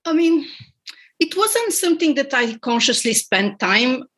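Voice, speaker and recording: A woman's voice: sits high, very animated, unhurried, fairly bright tone. A middle-aged woman from Bulgaria, a podcast guest telling her story in a reflective, hesitant way with frequent fillers. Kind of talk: conversational interview.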